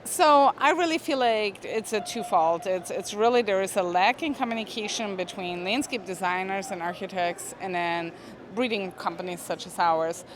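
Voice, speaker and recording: Woman in her twenties, relaxed and hedging, interviewed on a trade show floor. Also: mid-range voice, normal speed, a crowd in the background. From Austria. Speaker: plant product manager. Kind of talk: casual interview.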